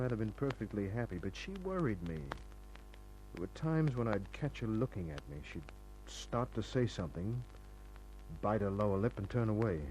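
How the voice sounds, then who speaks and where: An actor playing a tough, cool private detective in an old-time radio drama, laconic and hard-boiled, narrating and talking. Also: male voice, fairly low pitch, mellow, a little hiss or hum, close to an everyday voice, poor audio. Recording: old radio recording, music behind.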